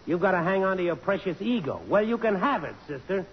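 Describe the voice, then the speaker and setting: A man's voice: high-pitched, expressive, fast, long reverb tail. An actor playing a wry, proud, lovelorn American man with slangy, insistent delivery in a radio drama. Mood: bitter. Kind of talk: 1950s radio drama acting. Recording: muffled, hissy old radio broadcast.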